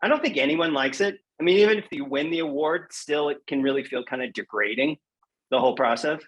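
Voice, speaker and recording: A man's voice: on the high side, brisk, a bit bright, low-quality recording. A man speaking as a poet guest in a casual podcast interview, frank and emphatic, repeating phrases for stress.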